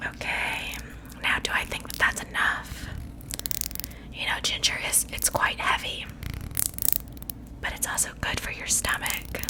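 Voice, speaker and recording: A woman's voice: lightly acted, rough recording. Woman as a whispering, sweetly sinister witch. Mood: soothing, gleeful. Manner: soothing, falsely reassuring, unhurried. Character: sweetly menacing witch seasoning her captive for stew. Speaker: ASMR roleplay performer. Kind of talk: whispered ASMR roleplay.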